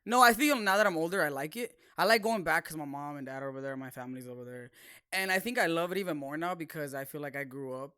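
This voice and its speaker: A man's voice: fairly high pitch, fast talker, bright, forceful tone, smooth, no room sound, clean studio sound. A man from California chatting casually as a talk show co-host, slipping some Spanish into his English. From California, United States. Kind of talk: casual chat.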